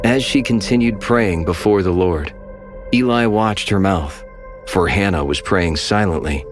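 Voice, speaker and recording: A man giving measured, solemn scripted scripture narration over strong music. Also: low voice.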